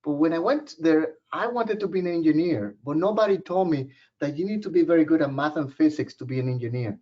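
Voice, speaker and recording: Man, Puerto Rican Spanish accent, native Spanish speaker, joking webinar presenter, somewhat high, dull lo-fi audio. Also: a little fast. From Puerto Rico. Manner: informal, joking, personal storytelling.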